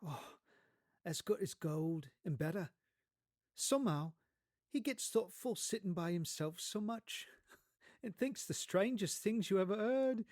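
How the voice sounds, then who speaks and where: A man's voice: sits high, animated, unhurried, darkish tone, dry recording, clean studio sound, pitched above the performer's own voice. A man voicing an affectionate, earnest, emotional working-class father in a storybook performance. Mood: tender, proud. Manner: tender, earnest. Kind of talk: theatrical audiobook character acting.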